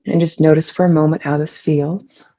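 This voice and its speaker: A person leading a guided meditation in a soothing, unhurried way with long pauses, relaxed and gravelly with vocal fry. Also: mid-range voice, dull audio.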